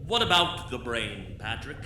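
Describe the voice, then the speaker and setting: Man as a grave, expressive radio-drama doctor. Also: medium pitch, measured pace, full character voice. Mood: uneasy. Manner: earnest, dramatic. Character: grave, moralizing male doctor, troubled old friend. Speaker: actor. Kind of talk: live old-time radio drama acting. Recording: echoey live stage, loud humming noise.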